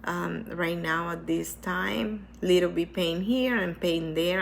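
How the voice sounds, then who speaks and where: Slow, gravelly woman with a stuffy voice. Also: sits low, a bit bright, a little hiss or hum. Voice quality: hesitant, with many restarts and repetitions. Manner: earnest, reflective, speaks slowly. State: stuffy from a cold. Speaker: vlogger sharing her cancer experience. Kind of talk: casual personal talk to camera.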